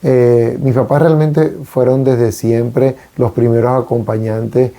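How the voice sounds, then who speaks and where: A man in his forties from Venezuela, a priest, talking on a radio show in an unhurried, reflective way with frequent hesitations. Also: medium pitch, steady tone, gravelly, warm tone. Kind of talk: casual conversation on a radio talk show.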